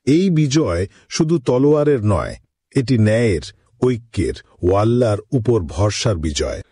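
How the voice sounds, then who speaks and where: A man's voice: lowish voice, lively intonation, measured pace, mellow, slightly rough, dry recording, studio quality. A man voicing a stern middle-aged king in a cartoon, speaking in formal, solemn declarations. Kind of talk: cartoon voice acting.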